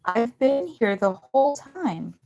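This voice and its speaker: A woman's voice: a little high, very animated, takes it slowly, darkish tone, faint music behind, pitched above the performer's own voice, full character voice. A woman voicing a scared, tearful wild man hiding in the woods, talking in a shaken, pleading way.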